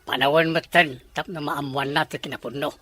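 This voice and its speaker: A woman's voice: low-pitched, steady tone, brisk, fairly bright tone, husky, no room sound, soft background music. A woman playing a frank, insistent confidant in a melodramatic family drama.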